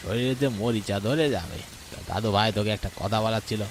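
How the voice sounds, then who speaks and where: Man acting as elderly village grandfather, low voice, kindly, gently worried, cartoon story dialogue, rain noise behind. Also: normal speed, lightly acted. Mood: gentle, chiding. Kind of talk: animated horror story dialogue. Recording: voice over clear rain noise. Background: falling rain.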